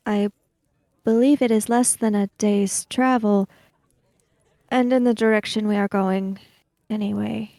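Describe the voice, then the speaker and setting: Woman playing a slow, reserved samurai emissary. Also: medium pitch, dark tone, no echo, clean studio sound. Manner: formal, deliberate, precise. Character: reserved, formal samurai emissary, measured and guarded. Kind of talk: in-character tabletop roleplay.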